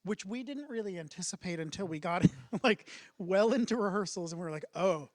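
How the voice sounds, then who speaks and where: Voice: medium pitch, animated, fairly quick, dry recording. A co-host chatting through a theater interview, explaining at length with laughs along the way. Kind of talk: casual interview chat.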